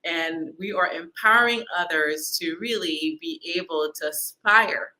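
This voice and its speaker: A woman's voice: fairly low pitch, measured pace, bright, forceful tone, poor audio. A woman hosting a virtual summit, introducing her guest with upbeat enthusiasm over a video call. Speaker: summit host. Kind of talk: interview introduction.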